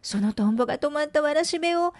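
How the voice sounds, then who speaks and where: A woman's voice: sits high, expressive, fast, no echo, voice pushed up. A woman voicing a polite young mother in a cartoon storybook, apologetic and pleading.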